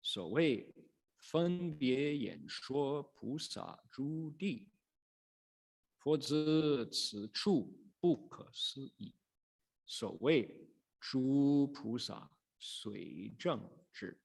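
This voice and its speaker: A man reciting and teaching Buddhist scripture as a monk, in a measured, dramatic storytelling manner with pauses. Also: mid-range voice, expressive, creaky. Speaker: Buddhist monk, sutra lecturer. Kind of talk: sutra recitation and dharma lecture.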